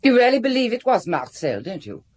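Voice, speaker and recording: An actress playing a loyal, guarded wife in a radio drama, terse and reproachful. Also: female voice, fairly high pitch, huge pitch swings, fairly quick, darkish tone, slightly rough, exaggerated voice. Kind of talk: British radio drama acting.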